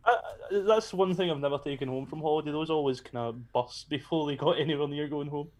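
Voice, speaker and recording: A man's voice: on the high side, fairly quick, darkish tone, slight background noise. Blunt, sweary man. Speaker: podcast host. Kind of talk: casual chat.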